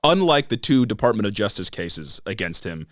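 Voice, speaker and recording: A man's voice: fairly low pitch, big pitch swings, moderate pace, a bit bright, dry recording, studio quality. A young American man co-hosting a podcast, explaining the news in casual, slangy and sometimes profane talk. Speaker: podcast co-host.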